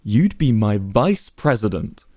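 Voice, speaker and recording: A man's voice: lowish voice, big pitch swings, unhurried, warm tone, no echo, studio quality. A man voicing a smug, calculating young male leader with a cool, cutting manner.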